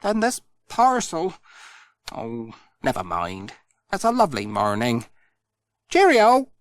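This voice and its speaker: A storyteller voicing a cheerful, busy village postman who talks brightly and eagerly. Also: mid-range voice, wildly swooping pitch, slow, dry recording, exaggerated voice. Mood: cheery. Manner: bright, sing-song and eager. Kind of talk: storybook read aloud.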